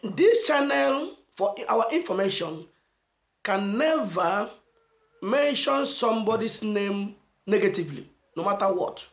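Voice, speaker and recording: A Nigerian man giving an emphatic motivational message as a channel host, asking rhetorical questions and stressing phrases slowly. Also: high-pitched, expressive, roomy sound. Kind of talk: motivational preaching to camera.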